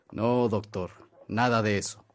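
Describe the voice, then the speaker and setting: A man playing a middle-aged, authoritative father and landowner in a radio drama, his voice slightly husky. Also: on the low side, steady tone, slow. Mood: kindly, courteous. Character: stern but charitable Mexican father, landowner. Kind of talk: Spanish-language radio drama acting.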